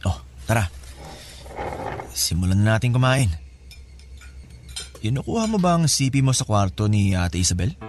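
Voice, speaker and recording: An actor playing a casual, scheming in-law who offers help with strings attached, familiar and coaxing, in a Filipino radio drama. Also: male voice, lowish voice, sing-song, moderate pace, pauses often, a little hiss or hum. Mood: friendly, coaxing. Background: music bed.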